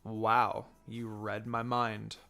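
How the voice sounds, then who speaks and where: A man's voice: lowish voice, little pitch movement, extremely slow, vocal fry, sharp tone, faint music behind, pitched below the performer's own voice, exaggerated voice. A man voicing a proud, sarcastic young female ninja who guards her feelings, in a story reading. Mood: sarcastic, guarded.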